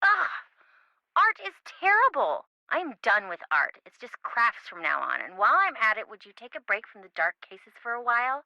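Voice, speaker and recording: A young woman friend in a video game, excitable and dramatic. Her voice is high and very bright, with animated swings in pitch. She talks in casual slang and plays everything up, recorded close and clean. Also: moderate pace, dry recording, muffled recording, full character voice. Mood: exasperated.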